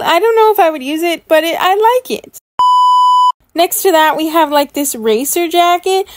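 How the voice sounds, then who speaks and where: A high-voiced woman chats her way through a game unboxing for her viewers. She is enthusiastic and gushing, and the recording is close and dry. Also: dry recording. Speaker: gaming YouTuber. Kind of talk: chatty gameplay unboxing commentary.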